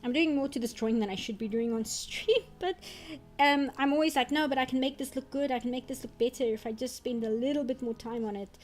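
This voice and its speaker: A woman's voice: high-pitched, a little fast, a little mellow. A young woman from South Africa with a South African accent, an Afrikaans speaker, chatting casually on stream while she draws and sometimes muttering to herself as she works. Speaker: artist streamer. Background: faint background music.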